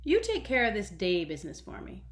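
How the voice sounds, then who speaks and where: A woman's voice: medium pitch, wildly swooping pitch, a little fast. A woman voicing a folksy, plain-spoken, commanding mother figure in a storybook reading. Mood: folksy, bossy. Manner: folksy Southern-style colloquial phrasing, plain-spoken, commanding. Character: Big Momma, folksy no-nonsense mother creator, pleased with her work. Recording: echoey room.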